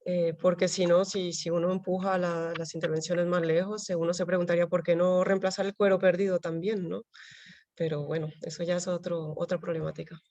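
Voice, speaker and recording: A woman with a trace of a Colombian accent speaks in a somewhat low voice, answering questions as a conservator. She talks haltingly, with many fillers, as she works through technical terms. The sound is close and dry. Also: flat delivery, darkish tone, dry recording, muffled recording. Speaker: paper conservator. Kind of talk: Q&A after a lecture.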